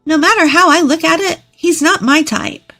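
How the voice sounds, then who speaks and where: A story narrator puts on a bossy young woman, the snooty older sister, pitched very high. The delivery is exaggerated and full of scorn, over light music. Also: normal speed, sharp tone, exaggerated voice. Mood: pushy, scheming. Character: bossy, snooty twenty-eight-year-old older sister.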